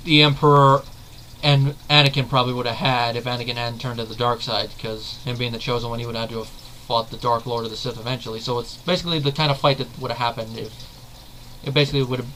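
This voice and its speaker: A man's voice: medium pitch, sharp tone, a little hiss or hum, low-quality recording. A man hosting a video, giving casual, rambling fan commentary and correcting himself as he goes. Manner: rambling, leans on the filler "basically"; analytical, self-correcting. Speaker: video host.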